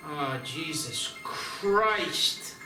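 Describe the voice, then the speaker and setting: A man plays a distraught small-town sheriff in a horror drama. The voice is high and slow, anguished and exclaiming. It sounds distant in an echoey space, with music underneath. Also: expressive. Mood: alarmed. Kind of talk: dramatic screenplay table read.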